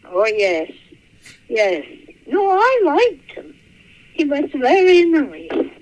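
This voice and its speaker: An elderly woman, reminiscing in a halting, musing, creaky voice. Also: sits high, huge pitch swings, a little mellow. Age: 80s or older. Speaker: interviewee recalling childhood memories. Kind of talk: reminiscing interview. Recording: muffled, lo-fi radio-like sound.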